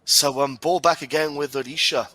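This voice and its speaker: A man's voice: on the high side, steady tone, normal speed, pushed and bright, no room sound. A man from Gibraltar doing live play-by-play football commentary, chatty and reading out viewer questions.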